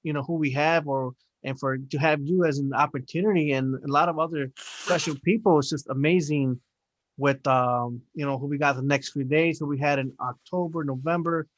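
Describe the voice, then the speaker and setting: A man's voice: a little high, steady tone, moderate pace, low-quality recording. A man in his forties hosting a casual podcast chat, talking in a rambling, upbeat way with lots of fillers. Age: 40s. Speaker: podcast host.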